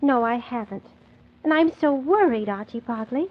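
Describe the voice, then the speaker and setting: An actress playing a kindly, worried, motherly hostess in a 1940s children's radio play, gentle and hesitant. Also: on the high side, lively intonation, normal speed. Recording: muffled, narrow 1940s radio recording.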